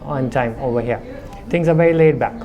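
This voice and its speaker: A man's voice: medium pitch, brisk, a crowd in the background. A middle-aged man who runs a restaurant, giving conversational interview answers and explaining things at length, with a light accent. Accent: slight Indian-English with foreign lilt. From India. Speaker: restaurant owner.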